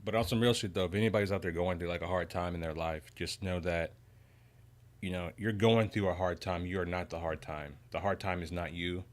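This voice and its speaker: Young male streamer, low voice, relaxed rambling chat full of fillers. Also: brisk. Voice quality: deep voice. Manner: laid-back, rambling, lots of "man" and "you know". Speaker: game streamer. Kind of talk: casual livestream chat.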